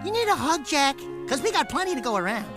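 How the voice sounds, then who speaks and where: A man voicing a small, wisecracking furry sidekick in a video game, cocky, excitable and mocking. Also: very high voice, fast talker, music in the background, full character voice. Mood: teasing, smug. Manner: mocking, exaggerated, wheedling. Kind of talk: video game cartoon acting.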